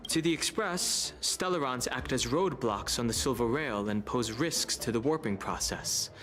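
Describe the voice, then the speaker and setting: A man playing a calm, articulate and guarded young traveller in a video game. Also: medium pitch, moderate pace, lightly acted. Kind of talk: scripted video game dialogue. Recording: game audio with music bed.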